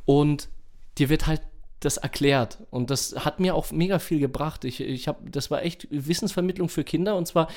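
A man's voice: fairly high pitch, normal speed, darkish tone. A young adult man co-hosting a podcast, chatting in a slangy, enthusiastic and informal way. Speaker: podcast co-host. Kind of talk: casual, slangy chat.

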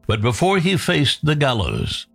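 A man's voice: on the high side, wildly swooping pitch, a little slow, creaky, fairly bright tone, soft background music. A man hosting a history show with dramatic scripted narration. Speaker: host.

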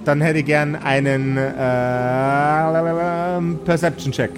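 A man improvising narration as a tabletop game master, halting and repeating his words. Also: fairly high pitch, fairly even intonation. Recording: clearly noisy background ambience.

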